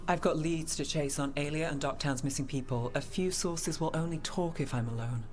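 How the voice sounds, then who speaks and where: A woman's voice: low-pitched, a little fast, faint music behind, close to an everyday voice. A woman acting a cool, businesslike investigator in a video game, with an offhand manner. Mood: cool, inviting.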